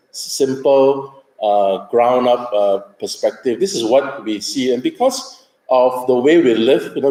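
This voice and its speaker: A man speaks slowly to a hall, his voice mellow and dark. He hesitates often with ums and drops light jokes as he presents. The sound carries a roomy echo. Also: medium pitch. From Singapore. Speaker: conference speaker. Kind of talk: presentation talk.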